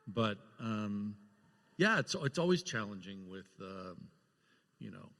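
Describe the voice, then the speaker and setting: A man with a lowish voice speaks as a producer on a panel, recalling past work in a casual way. The sound is live and reverberant, from a big hall. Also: very animated, slow pace, a bit bright. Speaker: panel guest, film producer.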